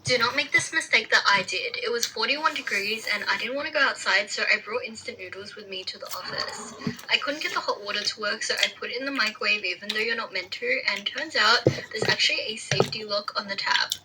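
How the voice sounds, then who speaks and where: A woman's voice: fairly high pitch, extremely slow, background noise. A woman casually telling a self-mocking story about a mishap at her office. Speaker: office worker telling an anecdote.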